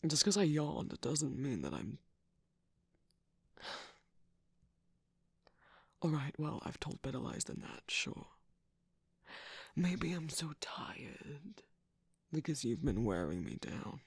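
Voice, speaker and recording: Performer acting out smug weary trickster villain, very husky and whispery, slow teasing drawl, mock-grand, close dry sound, ASMR game-character roleplay. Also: mid-range voice, big pitch swings, dry recording, full character voice. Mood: weary, defensive. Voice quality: breathy, husky, creaky, often dropping into whisper.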